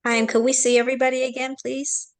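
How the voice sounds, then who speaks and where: A woman with a fairly high voice speaks up on a community video call. She sounds friendly and casual, pausing on small fillers as she gathers her words. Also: level tone.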